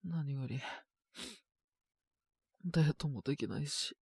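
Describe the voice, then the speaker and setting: A man's voice: a little high, measured pace, gravelly, a little mellow, husky, dry recording, clean studio sound, exaggerated voice. A man playing a sick, feverish young boyfriend in an audio drama, exhausted and congested, muttering to himself between sighs. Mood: achy, grumbling. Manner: sighing, muttering to himself in fragments. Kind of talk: Japanese situation voice roleplay, audio drama.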